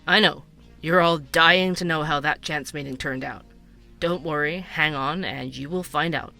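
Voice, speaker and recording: A man plays an earnest, night-owl podcast host in a scripted audio drama. His voice is forward and bright, a little high for a man, and he muses confidingly to his listeners over soft music. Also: moderate pace, gravelly, heavily acted. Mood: confiding, wry. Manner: musing, confiding, addresses listeners directly. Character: bored night-shift coffee shop worker turned earnest investigative podcaster. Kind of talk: scripted narrative podcast narration.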